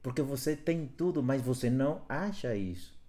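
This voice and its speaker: A man's voice: medium pitch, expressive, normal speed, mellow. A man facilitator on a live stream, speaking earnestly about love and self-awareness and repeating phrases for emphasis. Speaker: workshop facilitator. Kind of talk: live stream talk on relationships. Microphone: live stream from home.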